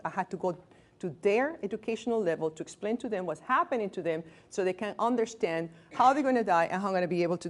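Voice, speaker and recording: A Venezuelan-accented woman in her late thirties gives a training talk to a small group in a room. She speaks candidly, pokes fun at herself and breaks off to restart words. Her voice sits at an ordinary middle pitch for a woman. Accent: Venezuelan Spanish. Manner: candid and self-deprecating, joking asides, restarts words. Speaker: physician giving a staff training. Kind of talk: lecture to a workplace group.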